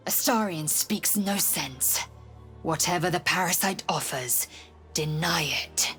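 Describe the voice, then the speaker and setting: A woman's voice: fairly low pitch, takes it slowly, fairly bright tone, faint music behind. A woman playing a stern, blunt warrior in a fantasy video game, with clipped formal phrasing. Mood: stern warning. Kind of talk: video game dialogue acting.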